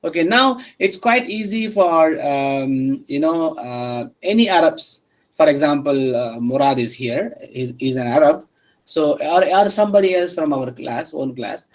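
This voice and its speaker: A man lecturing a university class in a fairly high voice. He is a non-native English speaker who restarts and repeats phrases, often stopping to put questions to his students. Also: expressive, unhurried. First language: not Arabic or English.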